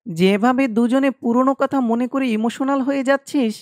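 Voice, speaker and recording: A man voicing an emotional, complaining young friend in an animated horror story. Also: very high voice, brisk, no room sound, clean studio sound. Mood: teasing, sulky.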